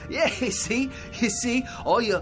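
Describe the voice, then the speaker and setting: A man's voice: very high-pitched, a little slow, over music. An actor in a radio drama playing a cocky, chatty eccentric man who stammers and repeats himself as he spins grand ideas. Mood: excited, rambling. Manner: rambling, stammering repeats, giggly and self-satisfied.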